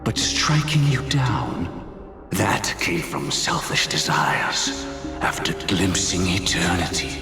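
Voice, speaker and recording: An actor playing a solemn, ruthless schemer in a video game, speaking in grave, grandiloquent, formal phrases. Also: male voice, fairly low pitch. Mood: lofty, resolute. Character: solemn, ruthless older male schemer of lofty purpose. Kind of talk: theatrical video game voice acting. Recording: echoey, music underneath. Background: dramatic game music.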